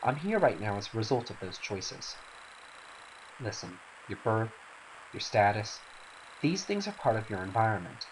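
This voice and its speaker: A stern, pragmatic woman of a fantasy game, acted out by a man. The delivery is theatrical and firm, on the low side, with lively swings in pitch and a faint hiss behind it. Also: moderate pace, long pauses, poor audio. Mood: stern, matter-of-fact. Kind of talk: dramatic reading of game cutscene dialogue.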